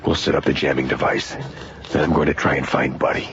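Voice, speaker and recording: A man's voice: a bass voice, flat delivery, fairly quick, background noise. An actor playing a confident, wry starship captain in a late-seventies science fiction radio drama, decisive and folksy in his orders. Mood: brisk, cautioning. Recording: dull vintage radio recording, loud music.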